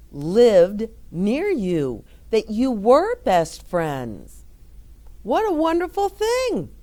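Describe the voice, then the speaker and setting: A middle-aged woman reading a picture book aloud and chatting gently and encouragingly to young children. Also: mid-range voice. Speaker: children's storyteller. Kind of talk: read-aloud story time with chat to children.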